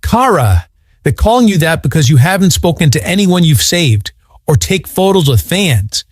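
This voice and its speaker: A man acts as a young superhero in an audio drama. His voice is expressive and dry in sound, with a caring, casual, earnest manner. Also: on the high side, normal speed, husky, no room sound. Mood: concerned. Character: young male superhero, friendly, concerned, earnest.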